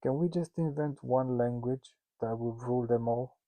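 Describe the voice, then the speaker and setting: A man's voice: mid-range voice, mellow, no echo. A man with a Russian accent giving a casual spoken lesson to founders. He jokes and mocks himself in loose asides, and he often stops and restarts his sentences. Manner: joking and self-mocking, with loose asides; halting, with many restarts and fillers. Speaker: instructor teaching founders.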